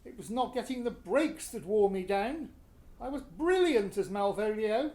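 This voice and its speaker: A man's voice: high voice, lively intonation, measured pace, a little mellow. In an echoey room, a man acts out a middle-aged British husband, a vain and embittered actor in a radio play. He is scornful and exasperated, forever justifying himself. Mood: defensive, indignant.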